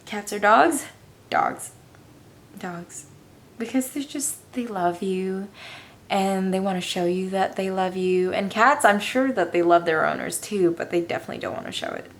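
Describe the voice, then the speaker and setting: A young woman with a raspy voice, answering viewer questions casually in her room, tired and hesitant with a self-deprecating manner. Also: mid-range voice, normal speed. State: tired with a headache. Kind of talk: casual Q&A chat.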